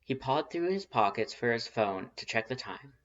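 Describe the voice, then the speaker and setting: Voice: medium pitch, animated, normal speed, fairly bright tone. A narrator reading a scripted fan fiction story aloud. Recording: dull lo-fi recording.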